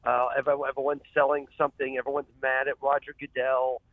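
Over a narrow, tinny phone connection, a man talks as a radio interview guest. He is chatty and emphatic, rambling through his answers in a mid-pitched, dry-sounding voice. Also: level tone, quick pace, dry recording. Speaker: sports columnist, show guest. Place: calling from a car.

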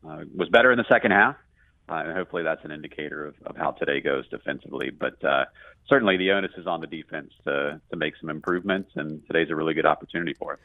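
Man, low voice, dry close sound, slightly muffled, conversational sports analysis. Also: fast, no room sound. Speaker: radio play-by-play guest.

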